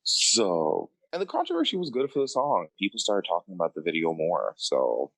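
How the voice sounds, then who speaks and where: A man's voice: sits low, huge pitch swings, moderate pace, vocal fry, fairly bright tone, poor audio. A man co-hosting a podcast, chatting casually with blunt, shady humour. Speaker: podcast co-host. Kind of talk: casual opinionated podcast chat.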